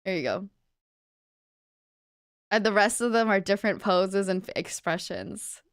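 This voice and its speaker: A woman's voice: medium pitch, animated, measured pace, no room sound, studio quality. A woman livestreamer chatting casually with her viewers in a bubbly, chatty manner. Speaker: livestreamer, virtual streamer host.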